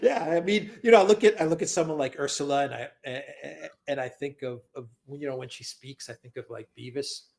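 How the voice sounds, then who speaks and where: A man's voice: medium pitch, a little fast, vocal fry, darkish tone, a little hiss or hum. A man talking as a guest on a live stream, rambling, repeating words and laughing often. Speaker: guest commentator. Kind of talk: casual live-stream chat.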